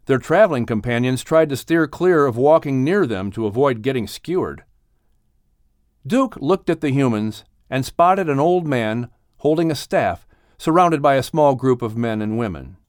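A man's voice: medium pitch, animated, moderate pace, a little mellow, no room sound, clean studio sound. A man narrating an audiobook story expressively, in a dramatic and earnest manner.

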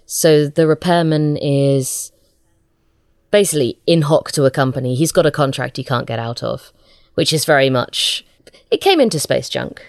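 A woman's voice: deep voice, animated, moderate pace, no echo, studio quality. A woman chatting casually as an interview guest, a writer with a hesitant, self-deprecating manner.

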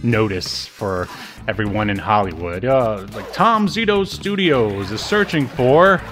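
A man's voice: fairly low pitch, expressive, measured pace, a bit bright, faint music behind. An American man chatting casually as a podcast co-host, with jokey, mock-indignant asides. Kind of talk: casual review banter.

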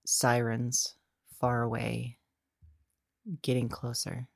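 A woman playing a frightened, lonely, tender woman resigned to doom in an audio drama, speaking haltingly and hushed, sometimes whispering. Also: rumbling low, monotone, gravelly, no echo, clean studio sound, heavily acted. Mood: uneasy, resigned. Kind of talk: intimate audio drama monologue.

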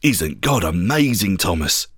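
A man voicing a joyful, earnest male disciple with a gentle manner in a dramatic story. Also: low voice, sing-song, a little slow, breathy edge, no echo, clean studio sound, voice pushed down, heavily acted. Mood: amazed joy.

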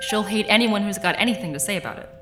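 An actress playing a dry, teasing expectant mother in an audio drama, with deadpan delivery. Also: medium pitch, fast talker, over loud music, natural voice. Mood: teasing, affectionate.

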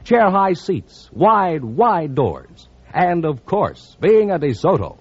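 A polished American man reads 1950s radio announcements and ad copy. His fairly high voice swoops with lively emphasis, slightly husky, on a muffled vintage recording. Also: slow, dry recording. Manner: polished, promotional delivery.

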